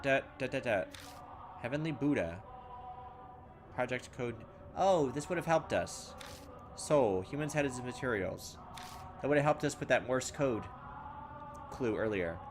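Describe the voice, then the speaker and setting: Guy reading a horror game out loud, calls himself an idiot, game music going behind him. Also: mid-range voice. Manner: self-mocking, thinks aloud, loses his way. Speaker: let's play gamer. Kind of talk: casual gameplay commentary, reading game text aloud.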